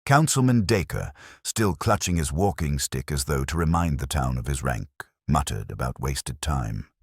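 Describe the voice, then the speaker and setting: A man narrating a scripted mystery story in a measured, literary way. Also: very deep voice, lively intonation, husky, dry recording, studio quality.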